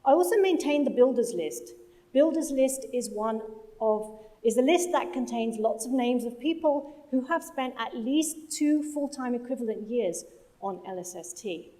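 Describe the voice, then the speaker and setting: Higher-voiced woman giving a talk. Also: lively intonation, normal speed, dark tone. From London, UK. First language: Punjabi. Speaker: conference speaker, project manager. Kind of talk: conference presentation. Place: conference hall stage.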